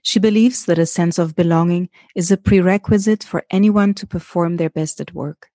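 A woman hosting a podcast, introducing her guest and asking questions in a measured way. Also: lowish voice, warm tone, dry recording, clean studio sound. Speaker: podcast host. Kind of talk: interview hosting.

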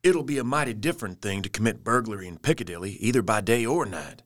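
An actor playing a brash, plain-spoken young adventurer in an audio drama. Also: male voice, on the low side, animated, fast, fairly bright tone, no echo, studio quality. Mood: wary, frank.

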